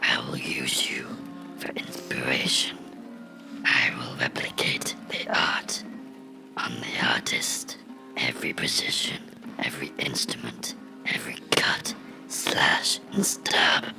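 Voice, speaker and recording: A performer in a horror ASMR role-play voicing a vengeful fictional cartoon character, whispering with slow, deliberate menace and a gravelly edge. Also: rumbling low, exaggerated voice. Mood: cruel, menacing. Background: music.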